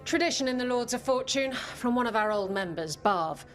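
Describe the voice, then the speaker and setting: A woman's voice: a little high, expressive, normal speed, lightly acted. Woman acting a swaggering adventurer woman, music behind. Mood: earnest explaining. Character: brash adventurer woman, pirate-like storyteller, loyal crew member. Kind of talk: video game cutscene dialogue.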